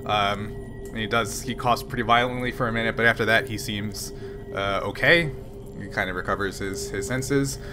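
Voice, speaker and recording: A man running a tabletop game as game master, describing scenes in a loose, rambling way. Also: lowish voice. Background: background music.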